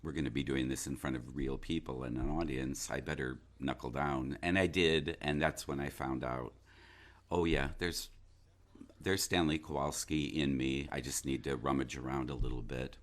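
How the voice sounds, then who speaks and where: Very deep-voiced man, thoughtful and halting. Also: fairly even intonation. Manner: thoughtful, halting, searching for words. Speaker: interview guest, stage and radio-play actor. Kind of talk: reflective interview conversation.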